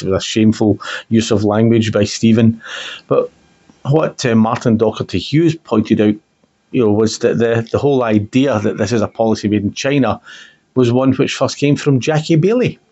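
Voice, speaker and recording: A man's voice: on the low side, darkish tone. A man arguing in a political discussion, emphatic and indignant, repeating phrases to press his point. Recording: dull, muffled lo-fi audio.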